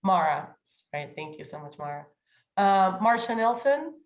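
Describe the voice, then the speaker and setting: Woman, somewhat low pitch, nasal from a lingering cold, committee chair, leading an online meeting. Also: sing-song, moderate pace. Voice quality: slightly nasal. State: getting over a cold. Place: remote video call.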